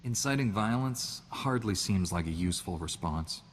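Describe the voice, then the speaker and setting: A dry, sardonic starship captain. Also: male voice, sits low, animated, moderate pace, big-room sound, slight background noise. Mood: wry. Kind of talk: video game voice acting.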